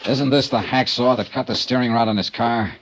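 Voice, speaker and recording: An actor playing a dry, clipped insurance investigator in a 1950s radio crime drama, narrating and questioning. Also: male voice, mid-range voice, fairly even intonation, a little fast, natural voice, poor audio. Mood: hard-boiled. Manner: dry, clipped, hard-boiled. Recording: old radio broadcast with music.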